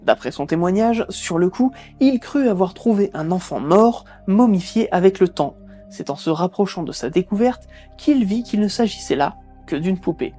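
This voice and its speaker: A woman hosting a video, telling a creepy story with lively, sarcastic asides. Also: moderate pace, slightly rough, soft background music. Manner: lively, sarcastic asides with squeaky put-on voices. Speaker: host. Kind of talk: storytelling narration with jokes.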